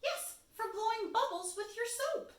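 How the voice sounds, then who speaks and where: A woman's voice: very high-pitched, expressive, unhurried, voice pushed up. A woman voicing a clever, cheerful little storybook bird with a reassuring, coaxing manner. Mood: confident. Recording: roomy echo, distant microphone.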